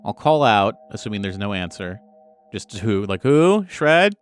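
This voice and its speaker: Casual, halting man at a game table. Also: fairly low pitch, clear, resonant tone, no room sound, soft background music. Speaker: tabletop role-playing game player. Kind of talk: casual improvised play, describing own actions.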